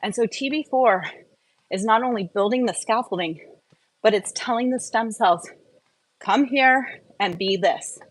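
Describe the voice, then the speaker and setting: A woman's voice: on the high side, measured pace, long pauses, low-quality recording. A woman hosting a casual live health stream, explaining things in an enthusiastic, emphatic and informal way with chatty asides.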